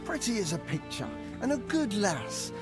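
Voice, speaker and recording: High male voice, aggrieved villager father, indignant, blunt, very animated, medieval game drama. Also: moderate pace, over music. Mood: rueful, aggrieved. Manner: indignant, grumbling, colourful insults. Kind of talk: video game cutscene acting.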